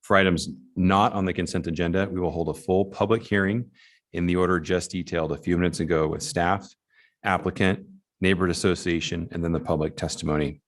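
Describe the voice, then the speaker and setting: Low-pitched man chairing a formal meeting. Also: fairly even intonation, fairly quick. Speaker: commission chair running a public hearing. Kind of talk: formal meeting procedure, partly read out.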